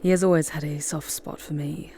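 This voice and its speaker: A woman's voice: low-pitched, steady tone, a little fast, warm tone, a little hiss or hum, natural voice. A woman playing a charming, sardonic demon guide in an ASMR audio roleplay, murmuring breathily with wry, formal phrasing. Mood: intimate, teasing.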